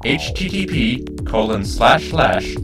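A man's voice: medium pitch, big pitch swings, slow pace, music in the background. A man reading scripted credits as an announcer. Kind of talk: scripted narration.

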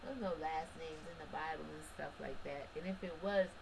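A woman's voice: sits low, fairly quick, creaky, background noise. A woman giving a casual, preachy religious commentary monologue, talking straight to her listeners with emphatic rhetorical questions and colloquial asides. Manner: casual colloquial speech with restarts and short laughs.

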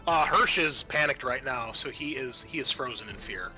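A man with a bright, forceful voice at a middle pitch runs a tabletop game. He narrates casually and thinks aloud, with faint music underneath. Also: animated, normal speed, poor audio. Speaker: game master.

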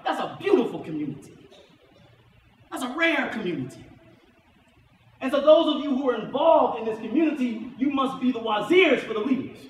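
A middle-aged man, an imam giving an emphatic sermon, repeating key phrases for weight. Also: extremely high pitch. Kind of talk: sermon, Friday khutbah. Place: mosque prayer hall, indoors at a lectern. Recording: echoey hall from far off, faint noise.

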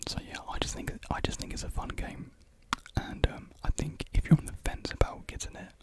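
Whispering young man, close-mic ASMR. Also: brisk. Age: young adult. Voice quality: soft breathy unvoiced whisper. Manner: rambling, informal. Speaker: ASMR creator. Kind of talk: whispered ASMR ramble, casual chat. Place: small indoor room with painted block walls. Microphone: large desk condenser mic close to the mouth.

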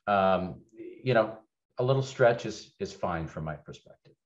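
A middle-aged man with a very low voice speaks over a webcam from an office. He talks in a candid, conversational way, pausing on fillers and restarting phrases. The call audio is dull and lo-fi. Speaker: keynote speaker, legal executive. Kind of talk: webinar keynote presentation. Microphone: webcam on video call.

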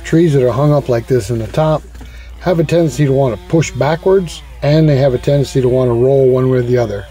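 A man calmly explaining how to cut up a fallen tree, recorded outdoors in a forest on a camera mic. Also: medium pitch, fairly quick, soft background music. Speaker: woodcutter host. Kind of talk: instructional outdoor narration.

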